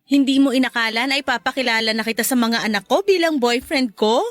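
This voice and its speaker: High-voiced, teasing Filipina girlfriend played by a woman. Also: fast talker, dry recording, close to an everyday voice. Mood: happy, teasing. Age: 30s. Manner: teasing, conversational. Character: playful, teasing girlfriend and mother in her thirties. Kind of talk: Tagalog audio drama dialogue.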